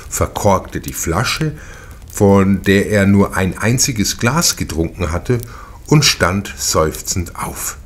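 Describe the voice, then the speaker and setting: A man reading a crime novel aloud as a narrator, in a measured and deliberate storytelling manner. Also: low-pitched, breathy edge. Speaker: audiobook narrator.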